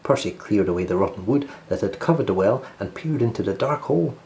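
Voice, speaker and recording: A man narrating a children's storybook as host, in an expressive read-aloud style. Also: lowish voice, fast talker, vocal fry.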